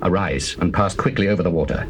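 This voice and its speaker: A man's voice: low-pitched, quick pace, lightly acted. An actor playing a solemn, stern biblical man, declaiming in a scripture drama on a hissy old cassette.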